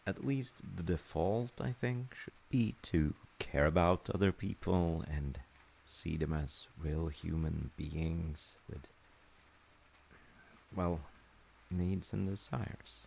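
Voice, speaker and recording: Male, low creaky voice, gentle thoughtful storyteller dad, measured and reflective, faint ambient noise behind. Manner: reflective, measured, explaining with pauses. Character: gentle, thoughtful dad telling his child a folktale. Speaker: storyteller, audio roleplay voice actor playing a dad. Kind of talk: intimate improvised-sounding bedtime storytelling and chat.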